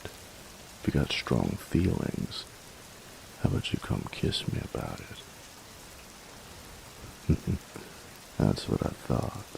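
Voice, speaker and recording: An actor playing a gruff young bully boyfriend, teasing but secretly tender, in an intimate audio roleplay. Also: male voice, a bass voice, heavily acted. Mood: softly tender. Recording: hiss with rain sounds behind. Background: steady rain.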